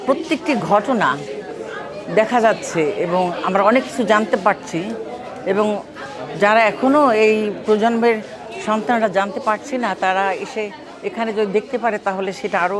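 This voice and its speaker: A woman answers an interviewer very slowly, pausing between phrases. A busy public space with clear crowd chatter surrounds her. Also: mid-range voice. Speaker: exhibition visitor interviewed.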